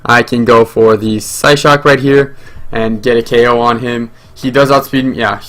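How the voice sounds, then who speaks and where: A man's voice: mid-range voice, steady tone, moderate pace, fairly bright tone. Young French man commentating a game. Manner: rambling, many fillers and restarts. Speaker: gaming channel host.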